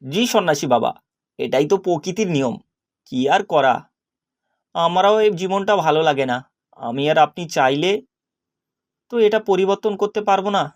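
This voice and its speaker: A man plays a discontented young fisherman in a cartoon fable. His voice sits high for a male. He sounds naive and earnest, with a plaintive way of talking. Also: animated, moderate pace, pauses often. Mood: glum, wondering. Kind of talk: animated story character acting.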